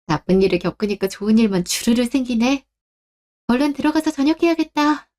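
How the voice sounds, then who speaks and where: A woman's voice: on the high side, lively intonation, brisk, darkish tone, lightly acted. A young woman voicing a wife in a radio drama, speaking politely and with restraint. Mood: cheerful. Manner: polite and restrained, turning pointed. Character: young wife, polite but standing her ground. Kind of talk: Korean radio drama acting.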